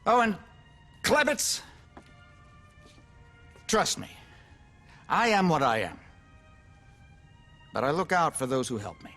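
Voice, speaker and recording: Pompous, swooping, cynical game politician. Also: male voice, fairly high pitch, sing-song, slow, pauses often, a bit bright, faint music behind, heavily acted. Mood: smug, scheming. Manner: pompous, effusive, glib. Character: smug, cynical, needy male politician, theatrically candid and pompous. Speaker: politician character. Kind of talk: video game cutscene acting.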